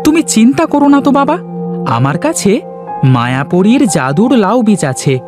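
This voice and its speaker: A man's voice: high voice, huge pitch swings, normal speed, music in the background, pitched above the performer's own voice. A man voicing an eager, earnest young farm boy in a children's fairy tale cartoon.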